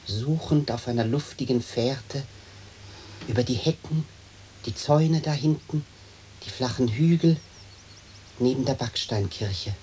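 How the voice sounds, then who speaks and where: An actor as a slow, wistful gentleman dreamer. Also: medium pitch, close to an everyday voice. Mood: dreamy longing. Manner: melancholy, lyrical, musing. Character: wistful dreamy gentleman butterfly collector stuck in a tree. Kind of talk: poetic German stereo radio play acting. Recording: hissy old radio drama recording.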